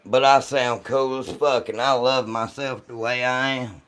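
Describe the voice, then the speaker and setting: A man with a deep, rough voice chats casually and jokingly with his livestream audience in a folksy, salty way. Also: steady tone, a little slow, a bit bright. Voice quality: deep, rough voice from vocal cord polyps. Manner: folksy, joking, foul-mouthed. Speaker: livestream host reading and answering chat.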